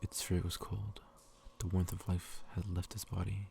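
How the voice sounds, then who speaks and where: A man with a deep, gravelly voice reads a story in a hushed ASMR style. His delivery is intimate and very even in pitch, drifting into whispers. There is faint noise behind him. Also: normal speed. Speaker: ASMR reader. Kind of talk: ASMR, soft reading aloud of a story.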